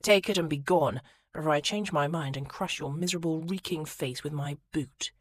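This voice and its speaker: A woman's voice: fairly low pitch, animated, moderate pace, no echo. A woman voicing a stern, commanding young aristocrat in an audiobook, speaking in firm, clipped commands.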